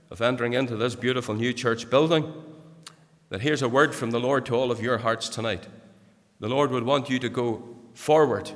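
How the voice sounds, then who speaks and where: A man's voice: mid-range voice, a bit bright. An older man with a Northern Irish accent preaching an earnest sermon in a church, rising to emphatic exhortation. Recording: church hall reverb.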